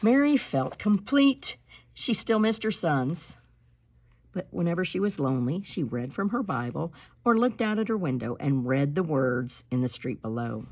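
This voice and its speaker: Woman w a slightly low raspy voice reading a kids book out loud, dramatic and emphatic. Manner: emphatic and dramatic, slowing on key words. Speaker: reader of a children's picture book. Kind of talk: expressive read-aloud storytelling with spoken asides.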